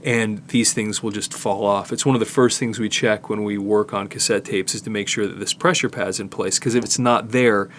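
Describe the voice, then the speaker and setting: An older man giving a casual hands-on demonstration, explaining haltingly and thinking aloud as he works. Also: lowish voice, fairly even intonation, a bit bright, a little hiss or hum. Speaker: host, tape digitizing technician. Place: studio, indoors.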